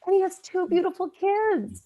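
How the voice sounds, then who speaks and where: A woman's voice: very high voice, very animated, normal speed, warm tone, full character voice. A woman playing an affectionate, teasing mother in a comedy table read. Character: affectionate, teasing working-class mom, loving family matriarch.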